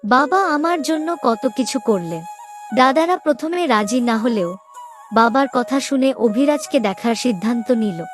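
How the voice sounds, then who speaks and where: A woman playing a shy, dutiful young bride-to-be in a cartoon family drama, speaking in a soft, sentimental way. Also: fairly high pitch, lively intonation, unhurried. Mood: wistful. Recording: music under the voice.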